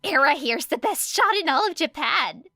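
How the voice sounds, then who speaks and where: Casting: chirpy teen girl inventor played by a woman, high, very animated, breezy, for an audiobook story. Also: normal speed, no echo, clean studio sound, full character voice. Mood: cheerful, eager. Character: beaming, eager teenage girl inventor.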